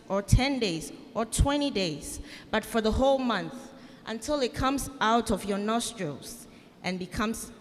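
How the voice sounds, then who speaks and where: A woman's voice: mid-range voice, lightly acted. A woman reading scripture dramatically in church as God, a stern, commanding and angry authority. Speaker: scripture reader voicing God. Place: large church indoors. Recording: reverberant church hall.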